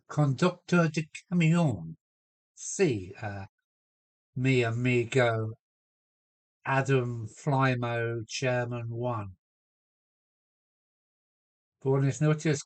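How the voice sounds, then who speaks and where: A man with a cold chatting casually on a home live stream from his loft, answering viewers and trying out halting Spanish phrases. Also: mid-range voice. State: has a cold. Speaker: model railway live streamer.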